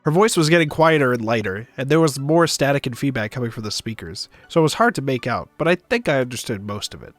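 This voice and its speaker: A man's voice: mid-range voice, animated, fast, hardly any pauses, no room sound, faint music behind. A man on a stream reading visual novel narration aloud, with casual asides.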